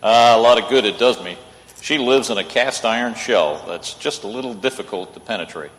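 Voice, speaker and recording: A man's voice: mid-range voice, a little fast, lightly acted. A man playing an amiable, wry lawyer in an old-time radio play, easygoing in manner. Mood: rueful. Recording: reverberant hall.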